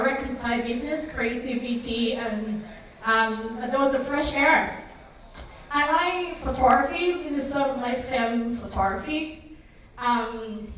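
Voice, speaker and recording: A woman's voice: high voice, a bit bright, a little hiss or hum. A woman in her thirties from Vietnam giving a conference talk, hesitant, with many ums and likes. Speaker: conference speaker. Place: conference hall. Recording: echoey hall, mic far off.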